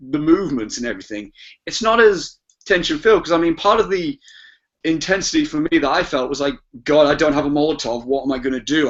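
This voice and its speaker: A man's voice: on the high side, a bit bright. A man talking casually as a podcast panelist, informal and rambling as he discusses things. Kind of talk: casual film and game discussion. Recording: dull lo-fi podcast audio.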